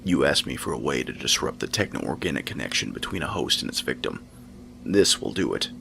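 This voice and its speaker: An actor playing a doubtful scientist doctor with a dry, wry delivery. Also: male voice, extremely low pitch, big pitch swings, fairly quick, gravelly, sharp tone, a little hiss or hum, heavily acted.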